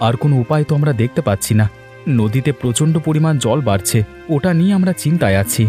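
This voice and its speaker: A man doing a brisk, brusque village husband. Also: fairly high pitch, animated, warm tone, soft background music, natural voice. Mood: troubled.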